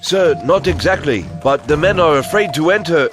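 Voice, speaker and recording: An actor playing a deferential, anxious soldier reporting urgently in a game cutscene. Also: male voice, on the high side, animated, moderate pace, natural voice. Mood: nervous, respectful. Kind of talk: dramatic scripted game acting. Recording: loud music behind the voice.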